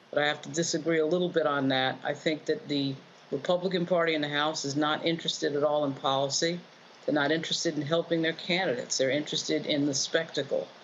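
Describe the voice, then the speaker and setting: An American woman gives political commentary as a panelist, repeating key phrases for emphasis. Her audio is a bit dull, with faint noise behind it. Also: low-pitched.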